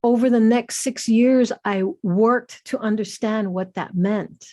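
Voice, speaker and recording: A woman's voice: a little high, measured pace, warm tone. A middle-aged woman from Hawaii presenting her doctoral research, telling it as a reflective story and lingering reverently on key words.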